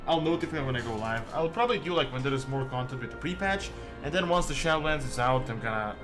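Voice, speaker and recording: A man from Serbia, chatting casually to viewers as a game streamer in a halting, rambling way peppered with "like". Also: mid-range voice, animated. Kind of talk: casual livestream chat with viewers. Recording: music behind the voice. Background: music.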